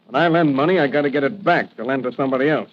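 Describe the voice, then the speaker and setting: A man's voice: medium pitch, racing, lightly acted. An actor playing a callous, menacing loan shark with sneering, slangy tough-guy talk in 1940s radio style. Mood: menacing, impatient. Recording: muffled, hissy vintage radio recording.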